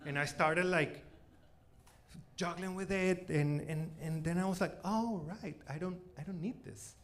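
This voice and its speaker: A forty-year-old man with a Venezuelan accent and native Spanish tells stand-up stories conversationally, haltingly repeating his words. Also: high voice. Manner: halting, repeats words, punctuated with "you know" and "like". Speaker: stand-up comedian. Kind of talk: stand-up comedy, conversational storytelling. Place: comedy club stage, live performance. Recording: noisy live club recording.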